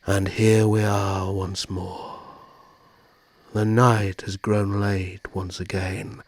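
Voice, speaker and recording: A man playing a brooding, courteous immortal vampire prince in a dramatic audio roleplay, speaking formally and measuredly in a husky, breathy voice. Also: lowish voice, flat delivery, slow pace. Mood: courteous, gentle.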